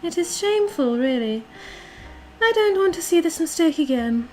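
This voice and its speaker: An imperious British duchess played by a woman, with a very high, girlish pitch. She is pompous and condescending, handing out orders to her stream audience as if to servants, and leaning hard on words for emphasis. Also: expressive, moderate pace, poor audio. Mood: disdainful. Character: haughty, bossy aristocratic dominant girlfriend calling herself Duchess. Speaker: livestreamer playing a character for viewers. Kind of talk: in-character roleplay livestream, reading and answering chat.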